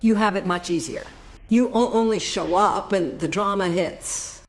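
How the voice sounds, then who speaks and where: A woman's voice: medium pitch, measured pace, slight background noise, natural voice. An actress playing an embittered, combative female social worker in a radio drama, indignant and sarcastic.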